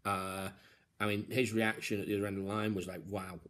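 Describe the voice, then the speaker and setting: A man with a fairly low-pitched voice talks in an off-the-cuff, matey way. He is hesitant, groping for words, and vents with casual swearing, as if chatting to mates. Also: level tone, a little fast, a bit bright. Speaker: comedian filming himself on a helpline call. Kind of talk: casual, sweary venting to viewers.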